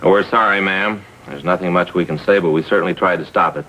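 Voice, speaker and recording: A deep, crisp-edged police sergeant. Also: male voice, fast talker, pushed and bright, poor audio. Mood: sympathetic. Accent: American. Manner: earnest, restrained. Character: earnest, firm but sympathetic police sergeant. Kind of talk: 1950s TV police drama acting.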